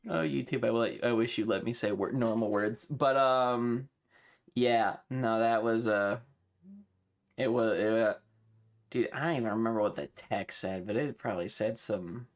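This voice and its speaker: A man chatting casually on a livestream, rambling and halting, swearing freely as he talks. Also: medium pitch. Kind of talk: casual rambling stream chat.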